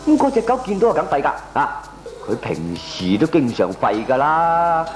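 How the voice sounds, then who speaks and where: A man's voice: fairly high pitch, sing-song, moderate pace. An actor playing a scheming, gleeful male neighbour in a comic radio drama, with a Hong Kong Cantonese accent. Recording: echoey radio play with music behind.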